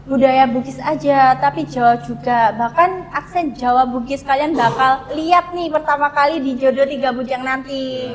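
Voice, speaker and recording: A woman's voice: sits high, fairly even intonation, normal speed, hardly any pauses, slight background noise, low-quality recording. A woman hosting a casual promotional interview, chatty and often addressing the audience directly. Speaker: talk show host interviewing a film cast.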